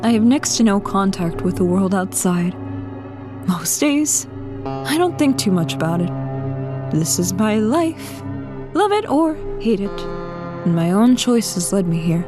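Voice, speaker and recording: A woman's voice: medium pitch, lively intonation, measured pace. A woman giving reflective, wistful scripted first-person narration in an audio drama, with music underneath.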